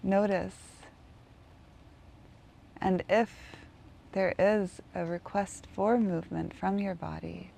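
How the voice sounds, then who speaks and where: A woman leading a guided meditation in a gentle, unhurried manner, leaving long pauses between phrases. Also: medium pitch, fairly even intonation, creaky, slight background noise.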